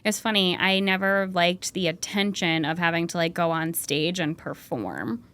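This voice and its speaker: A woman's voice: lowish voice, moderate pace, a bit bright, clean tone. A woman from Rhode Island, guest on a podcast, friendly and thinking aloud, often laughing. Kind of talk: casual interview.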